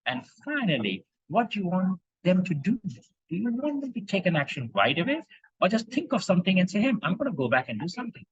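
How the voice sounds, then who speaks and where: A man from India giving an animated talk on impromptu speaking to a club, with emphatic rhetorical questions over a video call. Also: high-pitched, fast, breathy edge, dry recording, muffled recording. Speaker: speaking coach. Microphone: video call microphone.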